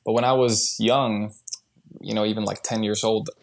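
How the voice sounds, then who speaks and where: A man from Sweden, raised partly in Brazil, speaks in a low voice with noticeable vocal fry. His pitch barely moves as he chats as an interview guest, often backing up and starting a phrase again. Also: little pitch movement, normal speed. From born in Sweden, partly raised in Brazil. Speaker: podcast guest, startup founder. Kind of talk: casual conversational interview.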